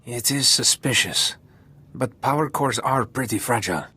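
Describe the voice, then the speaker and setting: A raspy male voice at medium pitch. He is a level-headed guerrilla lieutenant in a video game. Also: moderate pace, sharp tone. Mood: matter-of-fact.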